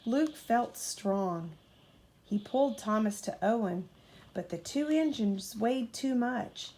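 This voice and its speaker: Slow-paced woman reading a storybook. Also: on the high side. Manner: expressive, acts out character dialogue. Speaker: mother reading a bedtime story. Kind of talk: children's storybook read aloud.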